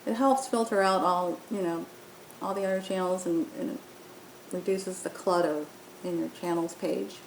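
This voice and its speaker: A woman's voice: normal speed, vocal fry. Woman giving a tutorial in an echoey room. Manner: explanatory, step by step. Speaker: app tutorial presenter. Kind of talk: informal software walkthrough narration. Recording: roomy echo, faint rumbling background noise.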